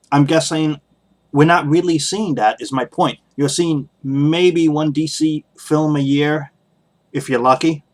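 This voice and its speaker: A man with a mid-pitched voice talks as a solo podcast host. His commentary is casual and opinionated, with emphatic stress on key points. He tends to circle back and restate things in a critical manner.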